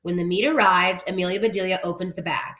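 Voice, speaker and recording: A woman reading a children's story aloud like a teacher, animated and clearly phrased for young listeners. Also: lowish voice, fast talker. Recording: roomy indoor echo.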